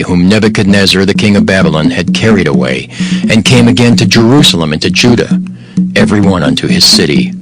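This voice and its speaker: An actor playing a steadfast governor of ancient Judah, narrating scripture in a solemn, measured, declamatory way. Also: male voice, lowish voice, lightly acted, low-quality recording. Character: steadfast male governor of ancient Judah telling his story. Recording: music behind the voice.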